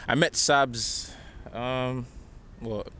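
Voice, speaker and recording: A man's voice: medium pitch, steady tone, very slow, sharp tone. A man chatting casually as a music artist in an interview, speaking in short fragments. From Nigeria. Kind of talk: casual chat. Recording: outdoor traffic noise.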